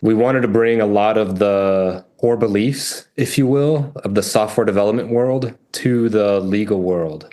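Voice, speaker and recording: A man born in France and raised in New Hampshire, a French native speaker, answering interview questions in a plain, measured way. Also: lowish voice, dark tone. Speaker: guest, app founder.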